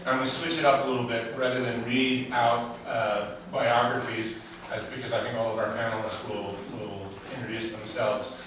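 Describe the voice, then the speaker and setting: A man's voice: medium pitch, level tone, noisy background. A man hosting a policy roundtable, summing up and introducing the next panel with frequent restarts. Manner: halting, restarts often. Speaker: event host. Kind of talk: event hosting remarks. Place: large event hall. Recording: echoey hall mic, far off.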